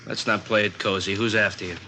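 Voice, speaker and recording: An actor playing a tough, commanding police captain in a radio crime drama, blunt and hard-boiled. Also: male voice, fairly low pitch, fairly even intonation, quick pace, sharp tone. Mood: stern, accusing. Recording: noisy old radio drama recording.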